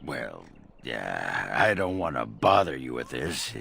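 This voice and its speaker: A man playing a crude, awkward dwarf in a video game, with a very deep, gravelly voice and halting delivery. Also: big pitch swings, a bit bright, a little hiss or hum, heavily acted. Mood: awkward, sheepish.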